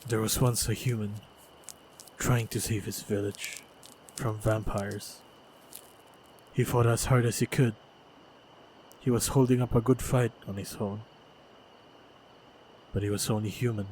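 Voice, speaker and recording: Guy playing a calm caring monster hunter in fantasy roleplay, lowish voice, talks slow, faint noise behind him. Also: lightly acted, low-quality recording. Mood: solemn storytelling. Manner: measured, deliberate, gentle storytelling delivery. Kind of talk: fantasy audio roleplay, spoken to the listener.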